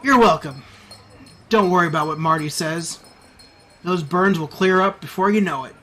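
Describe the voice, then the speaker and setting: A man playing a kindly, modest store Santa who talks in a casual, gentle way. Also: on the high side, moderate pace, slight background noise. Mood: gentle, reassuring.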